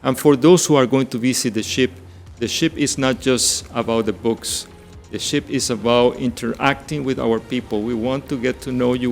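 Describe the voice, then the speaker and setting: A man's voice: medium pitch, gravelly. A middle-aged man from Ecuador, a native Spanish speaker with an Ecuadorian accent, answering earnestly as an interview guest. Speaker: ship director, interview guest.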